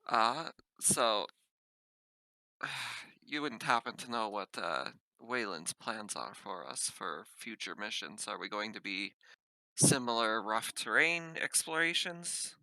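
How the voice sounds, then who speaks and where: Man playing a practical starship captain, crisp and forceful. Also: lowish voice, lively intonation, slow, dry recording, heavily acted, poor audio. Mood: practical, concerned. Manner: matter-of-fact, explanatory. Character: practical, concerned starship captain planning for the crew. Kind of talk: in-character tabletop sci-fi roleplay.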